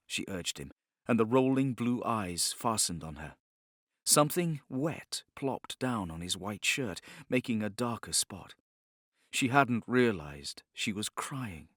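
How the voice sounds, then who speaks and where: A man's voice: on the low side, fairly bright tone, dry recording, clean studio sound. A man narrating a novel as an audiobook, reading scripted prose in a dramatic storytelling style. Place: studio, close and dry.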